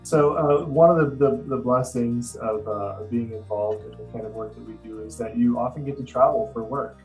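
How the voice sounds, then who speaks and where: A young man asks interview questions over a webcam from an office with blinds behind him. His voice sits at an ordinary pitch, and he pauses and stumbles with fillers as he phrases each question. Faint guitar music runs under him. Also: darkish tone, poor audio. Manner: hesitant, halting with fillers. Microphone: video call webcam.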